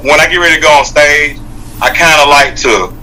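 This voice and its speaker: A man's voice: a little high, brisk, sharp tone, slight background noise, rough recording. A man who is a rapper, guesting on an interview and joking around loosely with a lot of profanity. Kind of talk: casual interview banter.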